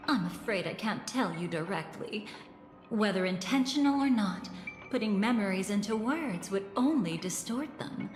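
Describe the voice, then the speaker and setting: A woman playing a poised, enigmatic guide through memories and dreams in a video game, with a measured, calm and mysterious delivery. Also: medium pitch, natural voice. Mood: measured, cautious. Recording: echoing dreamlike hall reverb, music behind. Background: background music.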